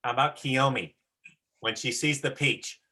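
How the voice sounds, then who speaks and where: A man's voice: mid-range voice, measured pace, pushed and bright. A man giving casual, encouraging instruction as a teacher directing a play rehearsal.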